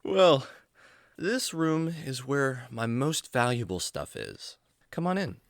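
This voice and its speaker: A kindly adventurer played by a man in a kids' radio drama, teaching with patience and earnest care. His mid-pitched voice rises and falls expressively, recorded dry and close. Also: big pitch swings, takes it slowly, dry recording. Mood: explanatory. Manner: gentle, earnest, explanatory. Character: kindly adventurer man, patient storytelling mentor to animal buddy. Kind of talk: children's radio drama acting.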